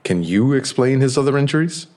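An actor playing a stern, suspicious hospital doctor, blunt and probing, in a podcast audio drama. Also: male voice, mid-range voice, expressive, brisk, darkish tone, natural voice. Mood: clinical, probing. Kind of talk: full-cast audio drama.

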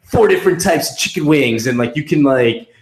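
A man in his thirties chatting casually and telling anecdotes as a podcast guest, in a halting, self-mocking way full of fillers. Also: on the high side, expressive. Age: 30s. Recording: roomy echo.

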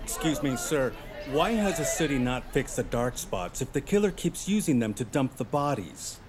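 A man acts as an accusing reporter in a radio play, mid-pitched and lively. He challenges from off mic in a noisy crowd. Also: normal speed, lightly acted. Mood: challenging. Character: confrontational, worried male reporter. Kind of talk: audio drama acting.

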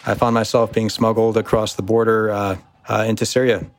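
An American man telling personal stories as an interview guest, in a reflective, halting way. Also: lowish voice, little pitch movement, slight background noise. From United States.

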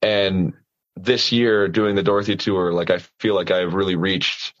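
Low-voiced man with earnest, halting talk. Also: steady tone, highs cut off. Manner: earnest, halting with restarts, gives practical advice. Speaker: singer, guest. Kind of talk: casual conversation.

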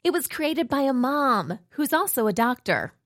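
A woman reads an advertisement with a lively, upbeat lilt. Her voice runs high and swings widely in pitch. It sounds dry and close. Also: normal speed, no room sound. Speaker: ad reader. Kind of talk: scripted advertisement.

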